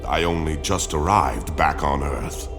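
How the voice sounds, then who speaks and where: A man playing a big four-armed alien lawman in a video game, speaking formally and deliberately with weighty pauses. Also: rumbling low. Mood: calm, measured. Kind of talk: video game cutscene voice acting. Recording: music behind the voice.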